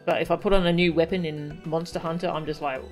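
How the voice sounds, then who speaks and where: A woman's voice: sits low, steady tone, quick pace, darkish tone. A woman streaming a game with casual, playful, chatty live commentary. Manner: playful, chatty, goofy sound effects. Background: faint game music.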